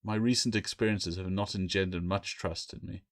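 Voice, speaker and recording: A man's voice: low voice, steady tone, normal speed. A man voicing a bitter, suspicious orphan boy in an audiobook adventure, sarcastic and accusing. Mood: grudging. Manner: indignant, accusing.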